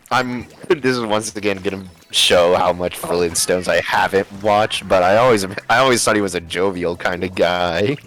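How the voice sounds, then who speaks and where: A man's voice: low-pitched, moderate pace, fairly bright tone, rough recording. A man chatting casually in a group, candid and given to joking. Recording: music playing behind the voice. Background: music.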